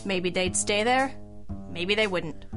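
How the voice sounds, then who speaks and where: An actress playing a composed, sharp female detective in an old-style radio drama, matter-of-fact, knowing and wry. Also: medium pitch, takes it slowly, soft background music. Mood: wry narration.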